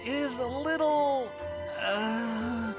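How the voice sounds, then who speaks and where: A man's voice: extremely high pitch, drawn-out words, exaggerated voice. A man playing a nervous, friendly young alchemist in an anime video game, awkward and good-natured, prone to stammering. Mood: flustered. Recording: loud game music behind.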